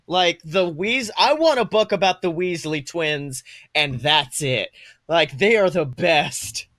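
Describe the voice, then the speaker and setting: A young man in his twenties with a fairly high voice, recorded dry and close. He talks casually as a podcast co-host, swearing freely and telling stories with irreverent emphasis. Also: animated, takes it slowly, a bit bright, dry recording. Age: 20s. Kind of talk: casual chat, animated storytelling.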